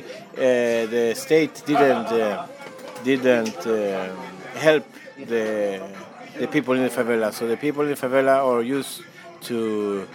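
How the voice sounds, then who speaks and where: A man from Chile who runs a hostel, answering interview questions casually with many fillers and restarts. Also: medium pitch. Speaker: hostel owner.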